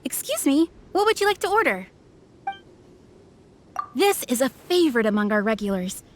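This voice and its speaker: A polite, helpful restaurant server from a video game. Her voice is high, with faint noise behind it. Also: very animated, normal speed. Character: polite female restaurant server recommending dishes.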